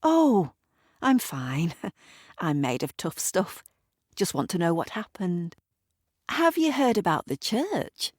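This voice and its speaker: A woman's voice: fairly low pitch, big pitch swings, takes it slowly, pauses often, slightly rough, no room sound, studio quality, heavily acted. A woman voicing a chatty, nosy village woman who is eager to pass on gossip.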